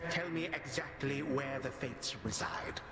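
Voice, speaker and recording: A man playing a calmly menacing, tyrannical Titan in a video game, speaking formally and imperiously without hurry. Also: medium pitch, unhurried, natural voice. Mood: calm menace. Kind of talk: dramatic video game acting. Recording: echoey hall with noise behind.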